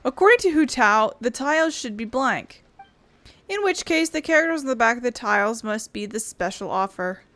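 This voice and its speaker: A woman's voice: a little high, lively intonation, moderate pace, fairly bright tone, dry recording. A woman hosting a casual let's play, reading game text aloud and adding her own comments. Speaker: gaming video host. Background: game music.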